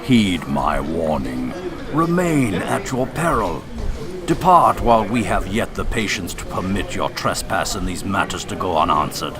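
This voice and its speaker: A man's voice: deep voice, very animated, a little slow, over music. A man playing a threatening stranger who gives portentous, formal warnings in a radio drama. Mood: menacing. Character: ominous, threatening male stranger delivering cryptic warnings.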